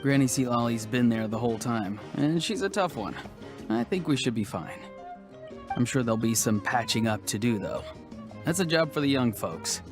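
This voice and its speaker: A man's voice: mid-range voice, expressive, moderate pace, over music, close to an everyday voice. Man playing a hesitant, laid-back young warrior. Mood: low-key. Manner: casual, hesitant, understated. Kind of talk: scripted video game voice acting.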